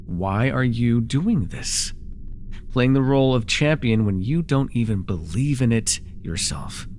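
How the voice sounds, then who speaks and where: Man acting a haughty, theatrical villain with lively swings. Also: mid-range voice, a little slow, slight background noise, lightly acted. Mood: sardonic, musing. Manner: theatrical, mocking, drawling. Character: conquering dark-magic villain ruler, sly, haughty, taunting. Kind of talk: immersive fantasy audio roleplay acting.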